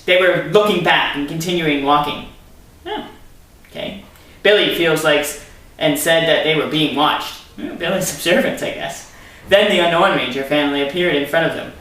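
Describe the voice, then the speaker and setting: A man's voice: on the high side, moderate pace, sharp tone. A man reading a story aloud theatrically, breaking in with sarcastic asides as commentary. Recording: very echoey room, distant mic.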